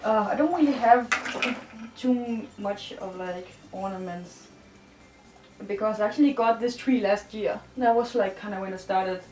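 A woman's voice: medium pitch, unhurried, dark tone, a little hiss or hum. A young woman from Denmark with a Danish accent, chatting in a bubbly, giggly, rambling way while decorating her bedroom, filmed by a camera across the room. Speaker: vlogger. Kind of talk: casual chat while decorating. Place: bedroom, indoors. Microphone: far camera. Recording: roomy, distant, with music faint behind.

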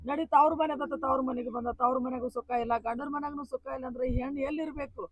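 A man's voice: very high voice, fairly even intonation, fast, no echo. An actor playing a distressed young village mother thrown out by her in-laws, complaining bitterly through tears, with a North Karnataka Kannada accent. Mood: tearful. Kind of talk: village drama acting.

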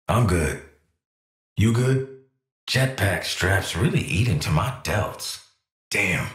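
A man's voice: on the low side, a bit bright, roomy sound. An actor with an American accent playing a cocky, world-weary assassin antihero in a video game, wry, blunt and streetwise in his delivery. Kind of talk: scripted video game voice lines.

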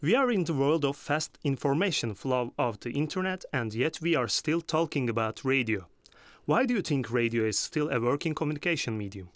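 A man's voice: medium pitch, expressive, fairly quick, no echo. A man with a Turkish accent presenting and interviewing as a radio host from a script.

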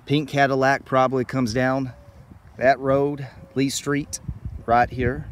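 A man's voice: mid-range voice, little pitch movement, slow. A middle-aged man hosting a travel vlog on location, speaking enthusiastically and directly to his viewers. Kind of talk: on-location narration to camera about music history. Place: outdoors at a small-town baseball field. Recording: outdoor ambient noise, passing vehicles.

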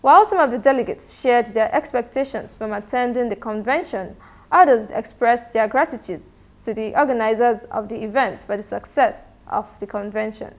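A woman's voice: on the high side, normal speed. A woman reporter reading scripted news narration.

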